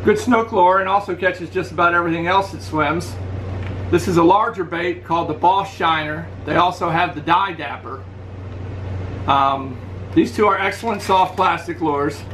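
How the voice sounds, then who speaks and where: A man's voice: high voice, moderate pace, slight background noise, poor audio. A middle-aged man in his living room, talking casually to a webcam as he holds up and reviews his favorite fishing lures. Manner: relaxed, practical, shows lures to camera. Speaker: fishing channel host. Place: indoors, living room at home.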